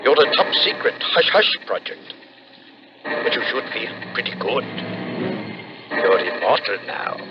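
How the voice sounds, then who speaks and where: An American actor playing a sardonic male confidant who breaks grim secrets gently, in 1960s radio drama style. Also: mid-range voice, sing-song, measured pace, pauses often. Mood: grimly sardonic. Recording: muffled old radio, faint noise.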